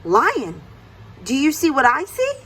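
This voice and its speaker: A woman's voice: high-pitched, huge pitch swings, measured pace, sharp tone, voice pushed up, exaggerated voice. A woman voicing Anansi's part in a children's storybook, a sly male trickster with a coaxing, insistent way of talking. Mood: friendly, scheming. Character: sly lazy trickster spider Anansi, eager and pushy, male.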